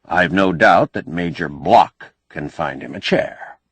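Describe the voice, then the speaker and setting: Audiobook role: unbending male boss, read by a male narrator, deep-set husky voice, shrewd and commanding. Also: takes it slowly, a bit bright, dry recording, full character voice. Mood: firm, commanding. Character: shrewd, stubborn male boss giving firm orders.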